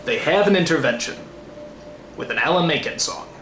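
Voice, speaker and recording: A man's voice: fairly high pitch, animated, brisk, a bit bright. An American man hosting a scripted comedy review, theatrically incredulous and given to exasperated ranting. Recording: roomy echo, faint background noise.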